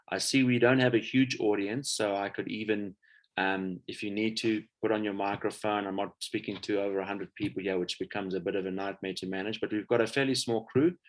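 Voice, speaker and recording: A man giving an informal lecture as a guest speaker, relaxed and chatty, often pausing on filler sounds. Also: lowish voice.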